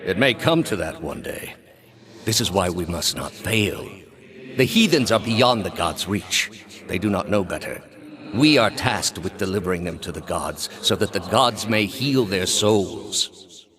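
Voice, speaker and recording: A solemn older high priest for a video game. The voice is low-pitched and grave. He speaks in measured, formal phrases like a devout preacher, with the calm command of a zealot. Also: crowd noise. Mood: solemn, fervent. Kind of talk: dramatic video game character dialogue.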